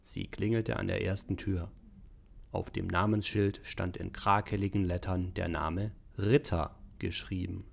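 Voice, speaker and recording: A man's voice: sits low, steady tone, unhurried, creaky. A man reading scripted narration for an audio drama.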